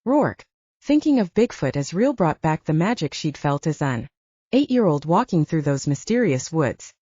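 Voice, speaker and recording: Expressive low-voiced woman narrating fiction. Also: moderate pace, husky, no room sound, muffled recording. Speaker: audiobook narrator.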